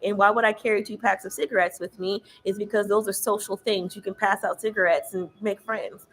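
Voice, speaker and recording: A woman reads tarot cards in a loud voice that carries even when she tries to keep it down. She speaks in a casual, emphatic, sermon-like way and swears freely. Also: medium pitch, brisk, poor audio. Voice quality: loud, carrying voice. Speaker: tarot reader. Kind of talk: casual spiritual tarot reading.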